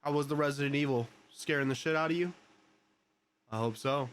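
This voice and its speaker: A man's voice: on the high side, fairly quick, a bit bright, clean tone. A man hosting a livestream, chatting informally and in slang as he reacts to a video and greets viewers. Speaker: livestream host.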